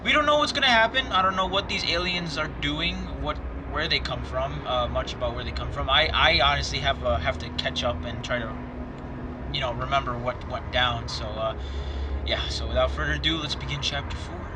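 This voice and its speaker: A man's voice: mid-range voice, brisk, noisy background. A man hosting a gaming video, chatting loosely and reading a story game aloud, rambling with many fillers and restarts. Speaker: gaming channel host. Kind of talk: casual let's play commentary, reading a story game aloud.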